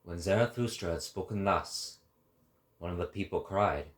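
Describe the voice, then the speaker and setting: A man with a very low voice reads as the narrator. The delivery is scripted, dramatic storytelling. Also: normal speed.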